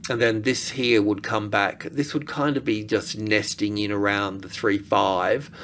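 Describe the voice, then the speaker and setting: Male market analyst, lowish voice, informal chart walkthrough, halting think-aloud delivery, faintly noisy recording. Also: fairly even intonation, a bit bright. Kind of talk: informal technical chart commentary.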